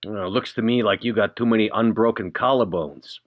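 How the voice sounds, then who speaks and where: A man's voice: lowish voice, fairly quick, narrow, tinny audio, lightly acted. A man playing a menacing vampire thug who taunts and threatens a captive in a tabletop game.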